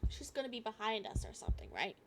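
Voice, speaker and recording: A woman reading a visual novel aloud as a gaming streamer, halting and expressive, with casual asides. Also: on the high side, slight background noise. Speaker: gaming YouTuber.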